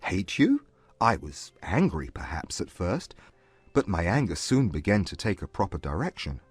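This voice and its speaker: A man playing a proud but humbled gentleman suitor, earnest and formal, in a dramatized classic romance audiobook. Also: low-pitched, very animated, husky, no echo, faint music behind, heavily acted. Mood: earnest, reassuring. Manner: formal, measured period English.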